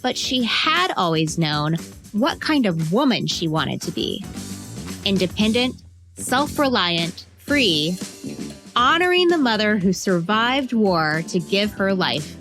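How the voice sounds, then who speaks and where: A woman narrates a scripted story with deliberate emphasis and dramatic pauses. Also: mid-range voice, very animated, a bit bright. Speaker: narrator and host of a children's biography podcast. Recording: music bed behind the voice.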